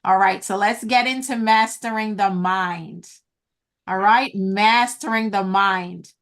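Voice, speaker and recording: A woman's voice: mid-range voice, takes it slowly, fairly bright tone. A woman business coach teaching a group over a video call, earnest and encouraging, calling her listeners guys. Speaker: business coach hosting an online event. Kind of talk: slow, deliberate teaching talk.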